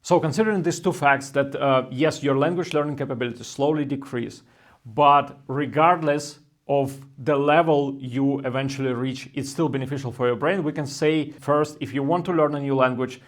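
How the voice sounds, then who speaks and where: A man in his thirties, hosting a language-learning channel, talking through research in a steady, thoughtful way with non-native phrasing. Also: medium pitch, normal speed. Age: 30s. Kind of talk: explainer talk to camera.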